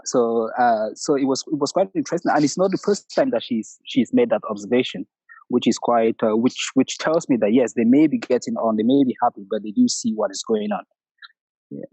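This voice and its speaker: A middle-aged man from Cameroon with a Cameroonian accent, calling in to tell a personal story in a halting way with many restarts. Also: medium pitch, mellow, breathy edge, no echo, rough recording. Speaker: caller. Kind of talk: personal story on a call-in show.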